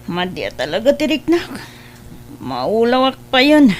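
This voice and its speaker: Woman playing a high, swooping, hard-drinking elder sister. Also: very animated, a little slow, slight background noise. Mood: unwell. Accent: Ilocano. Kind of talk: Ilocano radio comedy drama acting.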